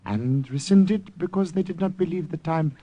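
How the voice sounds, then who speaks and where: An actor playing a dignified, diplomatic Regent of Siam in an old-time radio drama, with stately, formal phrasing. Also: male voice, on the high side, moderate pace, warm tone, slight background noise, close to an everyday voice. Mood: calm, wry. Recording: vintage radio drama recording.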